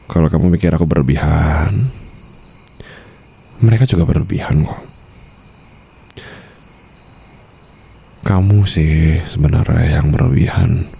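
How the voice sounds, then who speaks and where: A man plays a possessive mafia boss murmuring to his wife in a low, gravelly Indonesian-accented voice. He teases and coaxes with pet names in an ASMR roleplay, dangerous to rivals but doting on her. Faint night crickets and ambience sit behind him. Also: warm tone, slight background noise. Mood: reassuring, tender. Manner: teasing, coaxing, murmured endearments with English phrases mixed in.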